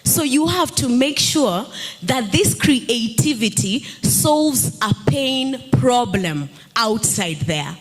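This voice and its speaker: A woman's voice: on the high side, animated, slow, faint music behind, low-quality recording. A woman in her thirties on a summit panel, coaching the audience on personal branding and asking them questions. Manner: engaging, asks the audience questions. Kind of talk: panel talk to a live audience. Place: stage at a summit. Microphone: handheld mic.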